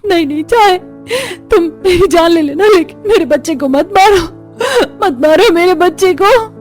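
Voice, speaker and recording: A woman's voice: very high voice, normal speed, voice pushed up. A woman voicing a distraught, begging village mother in a cartoon horror story. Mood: desperate pleading. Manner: wailing, begging. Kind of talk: Hindi animated story dub. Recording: music under the voice.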